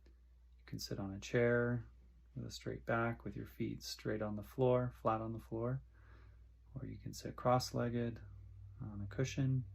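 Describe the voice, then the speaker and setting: A man guiding a meditation in short, soothing phrases with long pauses between them. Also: medium pitch, level tone, vocal fry, breathy edge. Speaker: meditation guide. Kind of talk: guided meditation instruction.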